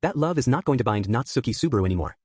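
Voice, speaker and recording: Guy doing a stubborn young hero, fast and lively, husky dry sound. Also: medium pitch, no echo. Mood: defiant. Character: stubborn young man, desperate and defiant.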